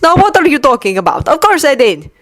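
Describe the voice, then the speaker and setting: A woman's voice: high voice, very animated, moderate pace, no room sound, studio quality, voice pushed up. A woman voicing a frustrated man who answers bitterly and defends himself. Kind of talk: dramatic story reading.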